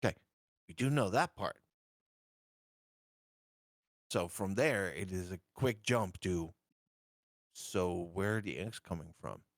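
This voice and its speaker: Lower-voiced man weighing options. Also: unhurried, no echo, studio quality. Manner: cautious, reasons through questions aloud. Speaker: player. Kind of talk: casual tabletop play talk.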